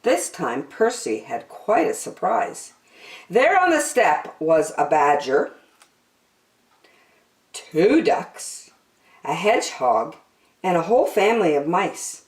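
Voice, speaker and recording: Woman, children's story reading, unhurried, swooping expressive pitch, mid register, roomy acoustic.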